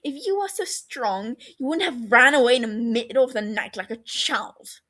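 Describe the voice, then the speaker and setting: A woman voicing a bitter, wounded younger brother in an audio story, heated and scornful, breaking into breathy hurt. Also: on the high side, animated, moderate pace, sharp tone. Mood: hurt, snapping.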